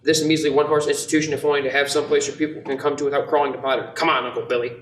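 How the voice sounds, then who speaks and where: A young man playing an earnest, restless small-town dreamer with halting, insistent delivery in an amateur radio play. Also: fairly high pitch, little pitch movement, a bit bright, close to an everyday voice. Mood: defiant. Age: young adult. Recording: echoey room, distant mic, some noise.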